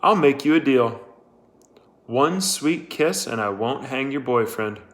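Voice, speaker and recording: Man doing a slow-drawling, leering drunk sheriff. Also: mid-range voice, lively intonation, a bit bright. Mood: taunting, menacing. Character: drunken, leering, menacing small-town male sheriff.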